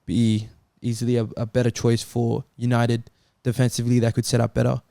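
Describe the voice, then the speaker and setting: A man's voice: medium pitch, completely flat delivery, fairly quick, gravelly, dark tone, no echo, clean studio sound. A man chatting casually as a football panellist, explaining his view in a tentative, hedging way. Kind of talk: casual chat.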